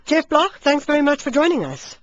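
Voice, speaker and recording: A woman hosts a live radio interview in a fairly high voice. She is chatty and animated, given to exclamations. The sound is slightly muffled, like a radio broadcast. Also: brisk, no echo. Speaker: radio host interviewing a guest.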